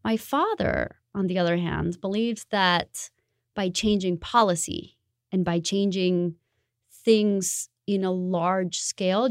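A woman's voice: lowish voice, no echo, studio quality. A woman in her thirties from Colombia, a designer answering interview questions in an earnest, reflective way. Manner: earnest and reflective, with occasional laughs.

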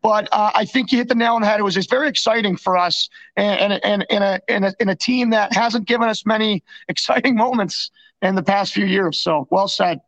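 A man co-hosting a casual hockey podcast, agreeable and halting, repeating words as he goes, on slightly lo-fi audio. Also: sits high, fairly bright tone. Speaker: sports podcast co-host.

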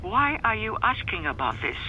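An actress playing a guarded, stern older Hmong refugee grandmother with a Hmong accent and measured delivery in an audio drama. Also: mid-range voice, sing-song, pushed and bright, slight background noise, low-quality recording. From Laos. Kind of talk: audio fiction acting.

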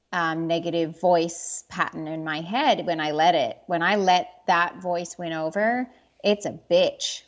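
A woman speaking as an interview guest, earnest and candid, halting at times with restarts as she reflects on personal experiences. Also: on the low side.

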